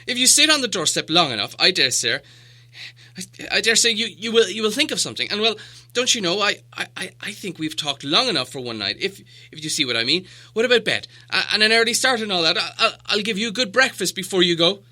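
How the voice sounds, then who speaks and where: A small, flustered storybook hobbit, pitched well up and piercingly bright. He is timid and fussy, tripping and stammering over his words. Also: big pitch swings, fast talker, heavily acted. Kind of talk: storybook character voice.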